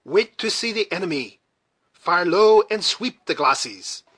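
A man's voice: high-pitched, lively intonation, measured pace, a bit bright, breathy edge, voice pushed up, exaggerated voice. A man voicing an old, fierce and emotional military commander and father in an audiobook, with impassioned delivery. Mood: commanding. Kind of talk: dramatic audiobook character reading.

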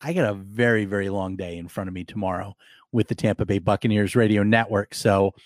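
A man with a hoarse, worn voice hosts a live sports podcast late at night. He talks loosely, circling back and restarting his sentences. The sound is dry and close, with no room around it. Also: on the low side, fairly even intonation, a little fast, no echo. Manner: rambling, many restarts and fillers. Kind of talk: casual live podcast talk.

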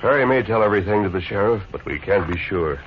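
Radio western lead, man: upright masked gunman, steady and authoritative, vintage muffled sound. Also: on the low side, a little hiss or hum, natural voice. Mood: calm, intent. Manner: authoritative, measured. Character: calm, commanding, upright masked gunman hero. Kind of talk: 1950s radio western acting. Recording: dull old radio recording.

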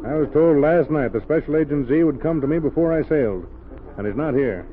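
An actor playing a steady, formal ship's captain in a 1930s radio drama. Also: male voice, a little high, brisk, lightly acted. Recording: tinny, noisy old radio recording.

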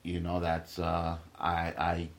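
A young man from Guatemala, a stand-up comic, talks emphatically as a podcast guest in a fairly low voice. He swears freely and stumbles into quick restarts while making his points. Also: completely flat delivery. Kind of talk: casual podcast interview.

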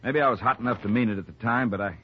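An actor playing a hot-tempered, bitter rancher's son in a 1950s radio drama, gruff and defensive. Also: male voice, medium pitch, very fast, close to an everyday voice. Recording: muffled old radio recording, faint noise.

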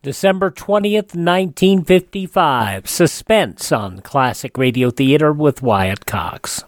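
Higher-pitched male radio host, close and dry. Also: expressive, takes it slowly, no room sound. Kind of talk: scripted classic radio program introduction.

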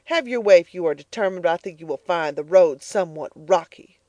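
A woman's voice: mid-range voice, lively intonation, a little fast, no echo, close to an everyday voice. A woman doing a harsh scolding farm mother. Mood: furious. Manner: scolding, sharp and loud. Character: harsh, scolding farm mother, middle-aged, stingy and bitter. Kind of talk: audiobook character voice.

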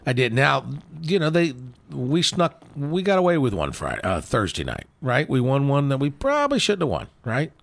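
A man's voice: mid-range voice, huge pitch swings, moderate pace, creaky, few pauses, dry recording. A man co-hosting a sports show in casual, folksy conversation, often trailing off into asides.

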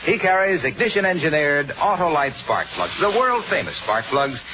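High-voiced, jovial 1950s radio adman. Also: big pitch swings, moderate pace. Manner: jovial, florid, alliterative patter. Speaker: commercial announcer. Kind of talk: 1950s radio advertising pitch. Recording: noisy, muffled 1950s radio recording.

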